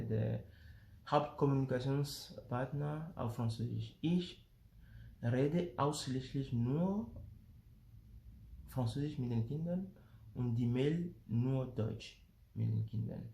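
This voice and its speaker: A man from Benin talks slowly with a gravelly, mellow voice, stopping often on fillers and restarting his words. The sound carries a noticeable echo of the room around him. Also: medium pitch, a little hiss or hum. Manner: slow, hesitant, many fillers and repeated words. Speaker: father sharing family experience. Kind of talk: casual explanatory talk to camera.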